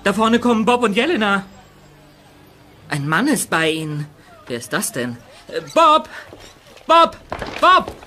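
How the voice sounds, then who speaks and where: A nervous teenage detective who stumbles over his words when surprised. His voice is high and bright. Part of a radio drama. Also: very animated, a little slow, pauses often, faint music behind, heavily acted. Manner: stammering, startled. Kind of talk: radio play acting.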